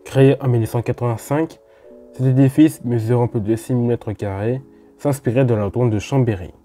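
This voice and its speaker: Very dark-voiced French man explaining history. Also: mid-range voice, fairly quick, soft background music. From France. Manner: chatty, with informal asides to the viewer. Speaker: host of a railway history channel. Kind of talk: casual explanatory narration.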